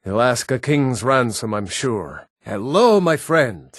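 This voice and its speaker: An actor playing a proud, stern Nord man in a fantasy video game, with a measured, weighty delivery. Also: medium pitch, big pitch swings, no echo, clean studio sound. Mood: reverent, lecturing.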